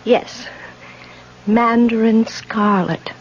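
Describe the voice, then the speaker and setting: An anxious, suspicious boarding house landlady in a vintage radio drama. Her voice sits fairly high and she is halting yet insistent. The recording is muffled, with a light hiss. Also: fairly even intonation. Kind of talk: old-time radio drama acting. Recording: muffled, hissy old radio broadcast recording.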